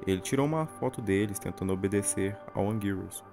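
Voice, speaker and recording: A man's voice: on the low side, a little fast, over loud music. A man with a Brazilian accent reading a scripted narration that recaps a horror series. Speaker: video narrator.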